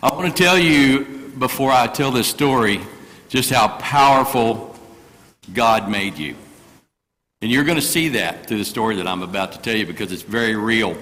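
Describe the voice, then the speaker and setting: A man's voice: mid-range voice, moderate pace, fairly bright tone, big-room sound. An older man, preaching in a church through a microphone and telling a personal story in an earnest, folksy way. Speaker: guest preacher. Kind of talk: sermon. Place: church sanctuary. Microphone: microphone through speakers.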